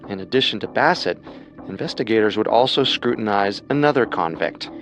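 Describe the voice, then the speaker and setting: Low, gravelly male narrator. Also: expressive, fairly quick, faint music behind. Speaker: podcast host and narrator. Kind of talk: scripted true crime narration.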